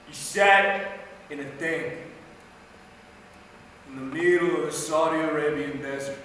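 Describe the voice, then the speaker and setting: A male actor plays an anguished, streetwise younger man delivering a theatrical monologue. His voice is high and bright, emphatic and full of swearing, broken by dramatic pauses. It is recorded from a distance in a very reverberant hall. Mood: somber, reflective. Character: anguished, foul-mouthed younger man grieving over his soldier brother. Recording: very echoey hall, distant mic, faint noise.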